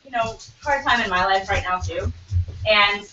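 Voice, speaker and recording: A woman's voice: medium pitch, normal speed, noisy background. Woman, earnest, rambling, casual stream chat, far from the mic, echoey. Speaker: cosplayer on a live stream. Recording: echoey room from far off, dull sound.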